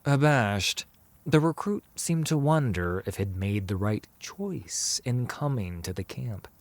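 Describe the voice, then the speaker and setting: A man's voice: medium pitch, lively intonation, takes it slowly, no echo. A man narrating a scripted fantasy story as a storyteller.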